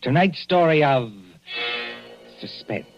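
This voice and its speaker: A man's voice: fairly high pitch, animated, takes it slowly, lo-fi sound. A man giving formal, dramatic scripted narration as a 1940s radio announcer. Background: music.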